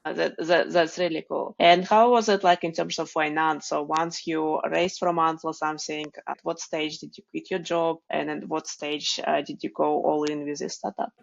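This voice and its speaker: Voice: medium pitch, flat delivery, normal speed, gravelly, hardly any pauses. A podcast host asking interview questions in an encouraging way, with lots of "like" and fillers. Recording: dull, dry lo-fi recording.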